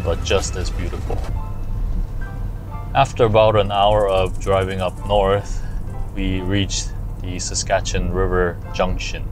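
Low, slow-talking man narrating a travel vlog. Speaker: vlog host narrating a road trip. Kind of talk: scripted travel voice-over, casual. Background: music.

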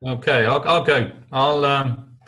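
A man's voice: medium pitch, steady tone, a little slow, bright, forceful tone. An older man with an English accent sharing thoughts on a video call, hesitant with many ums and uhs. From England. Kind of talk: casual group video call.

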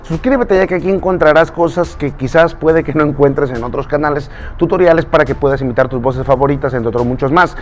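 A man's voice: on the high side, soft background music. Chatty Mexican man talking to camera. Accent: Mexican Spanish. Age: 30s. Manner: chatty and earnest, gesturing with his hands, pausing to check notes. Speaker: YouTuber and voice impressionist. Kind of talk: casual talk to camera. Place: outdoors in a recreational park, standing against a pale wall.

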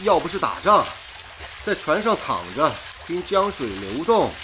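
An actor playing a cheerful, easygoing wartime radio engineer with a breezy manner in a radio drama. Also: male voice, a little high, sing-song, a little slow, background noise. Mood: upbeat. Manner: breezy, jocular.

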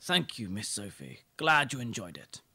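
James, low-voiced deferential butler played by a woman. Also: sing-song, normal speed, pushed and bright, slightly rough, full character voice. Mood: reluctant, obliging. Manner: obsequious, formal, repeating himself. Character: James, deferential elderly male English butler. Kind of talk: amateur radio play acting.

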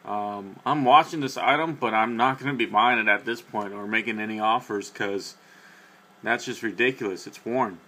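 A man's voice: lowish voice, normal speed, pushed and bright. A young man vlogging casually to a webcam in a small room at night, rambling with many fillers and restarts. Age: young adult. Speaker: sneaker vlogger. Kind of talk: casual vlog talk to camera. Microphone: webcam at close range.

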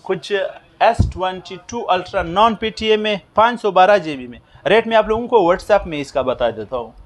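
Higher-voiced man reading out phone prices. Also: expressive. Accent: Pakistani Urdu. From Pakistan. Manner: brisk, repetitive, many filler pauses. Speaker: phone shop vlogger. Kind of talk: listing prices to camera. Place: small indoor mobile phone shop. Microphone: lapel mic.

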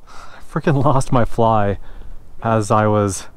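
A man's voice: fairly low pitch, slow. An American man talking casually and informally for a fishing vlog, in short understated remarks, on an action camera outdoors by a lake. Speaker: angler and vlogger. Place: outdoors on a mountain lakeshore. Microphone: action camera worn or held at arm's length. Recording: outdoor action-camera audio with clear background noise.

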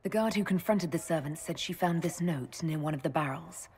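An actress voicing a young woman who leads an order in a video game, speaking directly with crisp questions. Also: low voice, brisk, a little mellow, slight background noise. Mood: steady.

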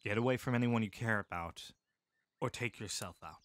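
A man voicing a calm, caring male medic soldier who speaks steadily, seriously and with compassion. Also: fairly low pitch, a little fast, no echo, close to an everyday voice. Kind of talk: full-cast audiobook acting.

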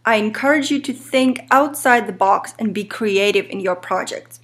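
A woman in her thirties from Ukraine with a strong Eastern European accent, whose first language is Ukrainian. She gives an earnest, measured introduction to her course, addressing her students directly. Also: medium pitch. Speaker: instructor.

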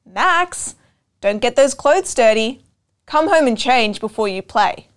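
A firm storybook mother, put on by a woman reader. Her raised, high voice calls out to her child slowly and clearly, as in a picture book read aloud. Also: animated. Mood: firm warning. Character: schoolboy's mother, firm, calling out warnings.

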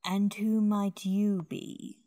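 A woman's voice: mid-range voice, little pitch movement, takes it slowly, mellow, lightly acted. A woman voicing a stern, haughty older head sister who scolds strictly, in an anime fan dub.

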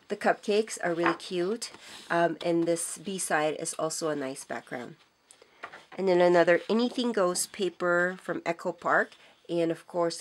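A hoarse middle-aged woman with a cold, chatting casually and enthusiastically as she shows off craft supplies, with frequent ums and self-corrections. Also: lowish voice, slight background noise. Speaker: craft vlogger. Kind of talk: casual show-and-tell haul. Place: indoors at a craft table.

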